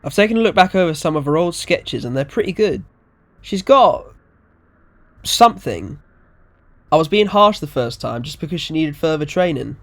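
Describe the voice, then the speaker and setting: A storyteller voicing a cocky, evasive, fast-talking man who manages clients, slangy, brazen and repeating himself. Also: medium pitch, animated, voice pushed up, lightly acted. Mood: defensive.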